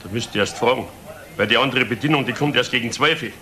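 Radio crime drama: male actor as helpful, chatty witness, mid pitch, echoing noisy room. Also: fast talker, pushed and bright, lightly acted. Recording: roomy, clearly noisy.

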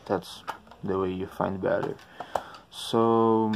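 A man with a low, creaky voice talks through a DIY tutorial in non-native English. He goes extremely slowly, halting as he looks for words. There is faint noise in the recording. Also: little pitch movement. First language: not English. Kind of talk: informal how-to narration.

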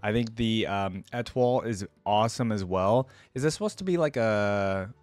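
A man's voice: fairly low pitch, animated, normal speed, a little mellow, no room sound. A young man giving casual, rambling reaction commentary as a video host, close on a desk microphone. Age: young adult. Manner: rambling, with frequent "like" and "right?". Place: indoors at a desk, gaming setup.

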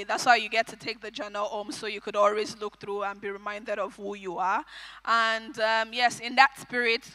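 Upbeat woman emceeing, slightly high bright voice, handheld mic. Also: poor audio. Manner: upbeat, leading the crowd. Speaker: event emcee. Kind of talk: leading a call-and-response affirmation. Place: stage in a large hall.

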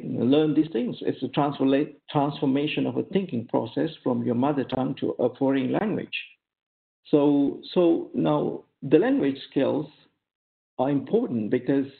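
Male academic lecturer, Sri Lankan accent, Sinhala native, gravelly creak, halting explanatory delivery, thin phone-like online audio. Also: medium pitch. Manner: halting, often checks with "okay?" and "right?". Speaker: academic giving a career talk. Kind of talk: informal lecture over slides.

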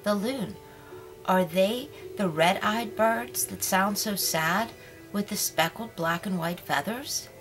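A woman's voice: medium pitch, slow pace, over music, natural voice. A woman voicing a curious, polite little girl who speaks eagerly.

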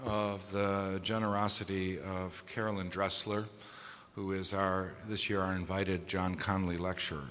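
A very low-pitched man addresses a large conference hall from a podium microphone, his voice carrying a long reverberant tail. He speaks in a formal, measured way, often pausing on hesitation fillers as he presents awards. The sound is that of an echoey hall. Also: fairly bright tone. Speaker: society president presenting awards. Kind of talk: formal award ceremony speech.

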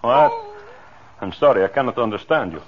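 An actor playing a jealous, sadistic husband in 1940s radio horror, formal, menacing and gloating. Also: male voice, mid-range voice, animated, brisk, crowd noise, natural voice. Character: jealous, sadistic husband gloating over his captive wife. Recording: dull, noisy old radio recording.

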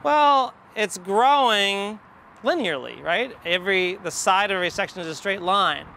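An American man in his forties teaches outdoors, with faint ambient noise behind him. His voice is high for a man and bright, with a forceful edge. He works through his explanation methodically, thinking aloud one step at a time. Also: expressive, moderate pace, creaky. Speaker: math educator. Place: outdoors in an empty football stadium. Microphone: lapel.

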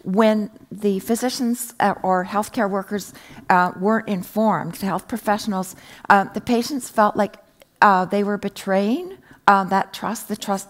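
A woman in her fifties on a conference panel, talking through a headset mic, hesitant with many fillers and restarts. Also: mid-range voice. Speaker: panelist presenting research. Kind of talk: panel discussion. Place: indoor conference stage.

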